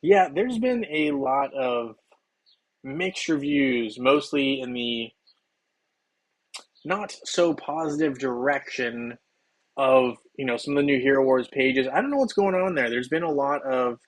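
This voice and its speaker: A slightly hoarse man hosting a game livestream, chatting loosely with viewers while he plays, with plenty of ums and restarts. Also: mid-range voice, animated, measured pace, rough recording. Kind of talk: casual livestream chat while playing.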